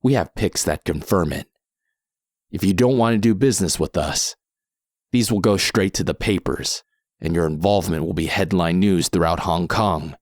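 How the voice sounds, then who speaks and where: An actor playing a calm masked mercenary in an audio drama, measured, unhurried and coolly threatening. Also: male voice, low-pitched, no room sound, studio quality. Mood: quietly menacing. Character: calm masked mercenary man, cool and menacing.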